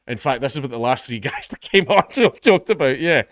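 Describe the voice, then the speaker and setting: A man's voice: animated, fairly quick, dry recording, studio quality. Sweary sarcastic Scottish man. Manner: sarcastic, sweary, mocking quoted voices; reads article passages in a lower, quieter voice. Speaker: online commentator. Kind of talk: reaction commentary, reading and mocking an article.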